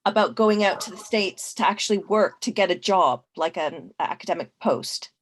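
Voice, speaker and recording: A woman's voice: lowish voice, lively intonation, normal speed. A woman from Canada speaking as a panelist in a casual discussion, thoughtful, with frequent fillers and restarts.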